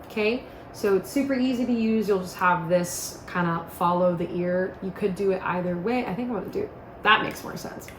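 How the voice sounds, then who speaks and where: In a roomy, echoing space with faint noise behind her, a woman demonstrates a product. She speaks casually and informally at a middle pitch, walking the listener through each step like a chatty instructor. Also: normal speed.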